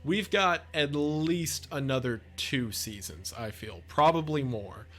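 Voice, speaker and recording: A man's voice: a little high, takes it slowly, fairly bright tone. A man giving casual off-the-cuff video commentary, chatty, effusive and enthusiastic as a video creator. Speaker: video essay creator and narrator.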